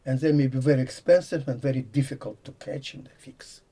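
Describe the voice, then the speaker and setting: Older Latvian-accented man explaining slowly. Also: mid-range voice, steady tone, warm tone. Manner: drops articles, thinks aloud with pauses. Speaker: guest, computer science professor. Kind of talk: explanatory conversation.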